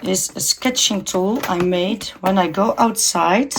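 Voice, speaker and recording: A woman in her forties from the Netherlands with a Dutch accent, giving a shy, halting, earnest tour of her home art studio. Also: mid-range voice, slight background noise. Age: 40s. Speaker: vlogger. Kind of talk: casual studio tour chat. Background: guitar music.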